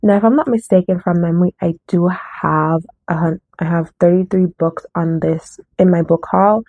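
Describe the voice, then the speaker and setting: A woman's voice: on the low side, steady tone, moderate pace, no echo. A woman chatting casually about her book haul, rambling and often correcting herself, slightly nasal from a cold. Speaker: book vlogger. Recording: dry, tinny phone-like sound.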